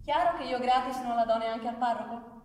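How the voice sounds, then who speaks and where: An actress playing a brash, streetwise prostitute with a rough kindness, blunt and colloquial, in a theatrical radio drama. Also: female voice, on the high side, brisk, close to an everyday voice. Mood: offhand, gruffly kind. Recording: distant, echoey hall with noise.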